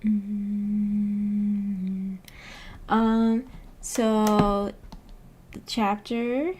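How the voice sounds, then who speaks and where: A woman's voice: medium pitch, little pitch movement, very slow, noisy background. A woman hosting a livestream, chatting casually with viewers in an informal, hesitant, giggly way. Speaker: livestream host.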